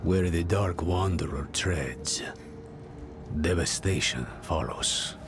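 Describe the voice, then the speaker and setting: A man playing a brooding, solemn spiritual warrior in a video game, with a grave, portentous and deliberate way of speaking. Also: low-pitched. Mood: grim, foreboding. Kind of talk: dramatic video game acting. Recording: loud music under the voice.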